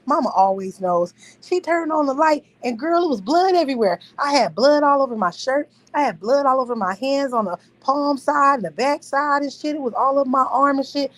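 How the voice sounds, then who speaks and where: Woman, thirties, casual storytelling host, swings up and down in pitch, laughs through her story. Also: brisk, hardly any pauses. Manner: animated, profane, laughing storyteller.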